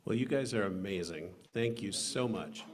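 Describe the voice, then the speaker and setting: Moderator, male, lowish voice, courteous, faint room noise. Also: moderate pace. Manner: courteous, wrapping up. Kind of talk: moderating a panel.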